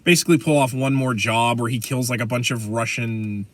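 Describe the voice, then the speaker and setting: A man with an American accent co-hosting a podcast, chatting casually about pop culture in rambling, halting explanations. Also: medium pitch, fairly even intonation, slight background noise. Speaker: podcast co-host.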